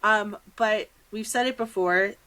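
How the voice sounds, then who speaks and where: A woman's voice: mid-range voice, fairly even intonation, unhurried, bright, forceful tone. A woman hosting a podcast in a casual, conversational interview style, acting out little asides as she talks. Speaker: podcast host.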